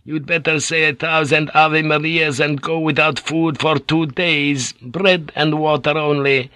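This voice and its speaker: A man's voice: a little high, steady tone, no room sound, voice pushed up. A man voicing a sly, coaxing Jesuit priest. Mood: reproving. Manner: soft, coaxing, drawn-out. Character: sly, smooth-talking middle-aged male Jesuit priest.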